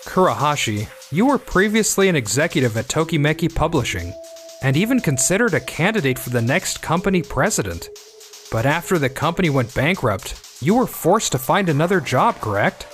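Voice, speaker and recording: A man plays a shrewd male boss in a manga drama, pointed and blunt. The voice is on the high side and very animated in pitch, with faint music behind. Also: fairly quick. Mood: probing, blunt. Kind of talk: manga dub voice acting.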